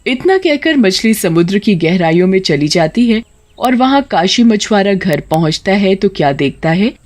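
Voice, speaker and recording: A woman's voice: medium pitch, very animated, moderate pace, darkish tone. A woman narrator reading a scripted moral tale for children. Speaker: story narrator.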